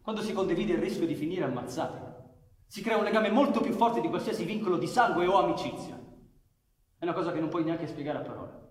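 An actor playing an ardent, idealistic lover, earnest and insistent, in a live radio drama. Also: male voice, high-pitched, fast talker, slight background noise, close to an everyday voice. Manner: earnest, insistent, repeats himself. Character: ardent, idealistic male lover dreaming of escape. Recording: echoey hall with music.

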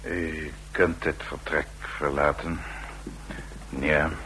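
A man's voice: very deep voice, flat delivery, slow pace, bright, forceful tone, full character voice. An actor playing a fascist state's henchman in a radio drama, formal and menacingly polite, on a noisy old recording. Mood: cold politeness. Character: coldly courteous male henchman of a fascist state.

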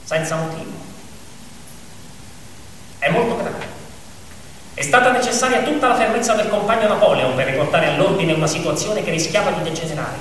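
A man with a high voice delivers a theatrical narrative monologue. He is heard from a distance in a very echoey hall with faint noise. His delivery is dramatic and declamatory, built on repetition and pointed pauses. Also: fairly bright tone. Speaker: actor-narrator.

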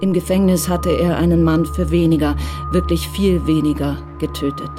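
A woman giving a sober, measured scripted narration for a crime radio drama. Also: deep voice, fairly even intonation. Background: music.

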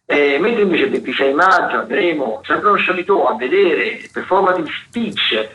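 A man hosting a radio show, reading out cultural listings in a halting, digressive, self-correcting way. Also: a little high, sharp tone. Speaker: radio host. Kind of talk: unscripted radio listings of theatre and dance events. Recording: roomy, dull lo-fi radio sound, faint noise.

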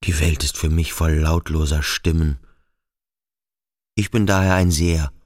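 A male actor playing a brooding, introspective schoolboy who questions himself slowly and deliberately in a literary radio drama. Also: very deep voice, fairly even intonation, darkish tone, no room sound. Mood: brooding, uneasy. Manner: slow, deliberate, self-questioning. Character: brooding, introspective schoolboy pondering his own strangeness.

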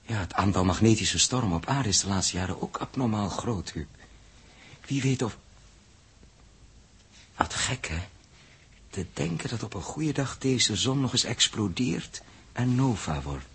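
Male astronaut, very husky, lively intonation, inquisitive reasoner for a vintage sci-fi radio play. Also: fairly low pitch, a little slow, pauses often, heavily acted. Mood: musing. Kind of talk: 1960s Dutch radio drama acting. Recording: muffled-edged old 1960s radio recording.

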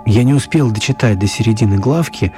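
An actor playing an author who narrates his children's story in a radio play, mellow and husky. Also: on the low side, brisk, faint music behind, close to an everyday voice. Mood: storytelling.

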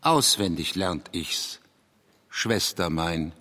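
A deep, husky brooding male writer. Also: lively intonation, takes it slowly, sharp tone, exaggerated voice. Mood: solemn, intense. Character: male writer, taciturn, hesitant, brooding poet. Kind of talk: radio drama, literary reading and interview. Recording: long hall-like reverb.

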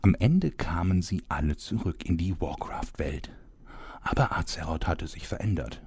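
A man's voice: sits low, animated, moderate pace, darkish tone, breathy edge, no room sound, exaggerated voice. An actor playing a vain, fed-up male narrator in a comedy audio play, pompous and theatrical. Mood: solemn storytelling. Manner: theatrical, pompous, self-important.

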